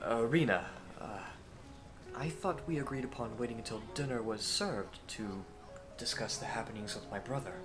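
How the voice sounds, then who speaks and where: A man playing a courteous, earnest young Victorian gentleman hero in a scripted audio roleplay, with formal, hesitant phrasing. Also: medium pitch, moderate pace, music in the background, close to an everyday voice. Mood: fond, bashful.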